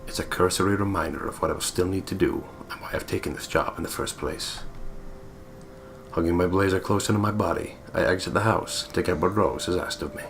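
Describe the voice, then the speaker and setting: A man reading visual novel text aloud as a deliberate, storyteller-like narrator. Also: low-pitched, creaky, fairly bright tone, slight background noise. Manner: deliberate, storyteller-like, playful putting on of voices. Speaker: gaming video co-player reading the text aloud. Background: game music.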